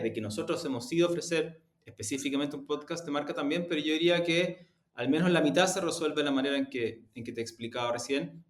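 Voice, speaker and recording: A man with a Chilean accent answering interview questions in a measured, deliberate, halting way. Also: on the high side. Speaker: interview guest, audio production executive. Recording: roomy, echoey sound.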